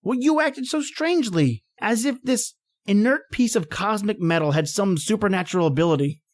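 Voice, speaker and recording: A woman's voice: medium pitch, animated, normal speed, close to an everyday voice. A woman playing an impatient, rational professor in a radio horror play, with a theatrical, exclamatory manner. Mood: confident, condescending. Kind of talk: old-time radio horror acting.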